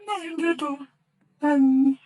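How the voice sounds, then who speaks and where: An actress playing a grieving mother who weeps for her little son, her voice high and breaking, in old-time radio drama style. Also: steady tone, drawn-out words, mellow. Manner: tearful, breaking. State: crying. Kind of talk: 1940s radio acting.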